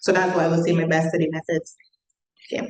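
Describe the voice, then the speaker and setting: A woman's voice: low-pitched, little pitch movement, brisk. A young woman from Barbados, a medical student, answering panel questions emphatically and repeating words for stress. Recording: echoey room.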